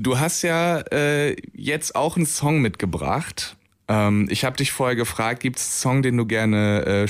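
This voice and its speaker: A man hosts a campus radio show from the studio, easing questions to his guest. His talk is relaxed and conversational, with a few fillers, into a close studio microphone. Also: mid-range voice. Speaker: radio host. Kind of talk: casual radio interview.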